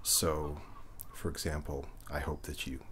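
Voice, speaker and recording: A man softly guiding a relaxation session in ASMR style, gentle, unhurried and reassuring, his hushed, breathy voice often slipping into a whisper. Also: very deep voice, monotone, slight background noise. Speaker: ASMR reiki session host. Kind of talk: ASMR guided relaxation, speaking softly to the listener.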